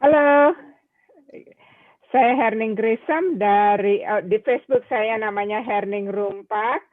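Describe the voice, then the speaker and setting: A woman introducing herself on a video call, pausing and correcting herself. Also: on the high side, no echo. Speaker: meeting participant. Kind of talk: self-introduction. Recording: tinny phone-like call audio.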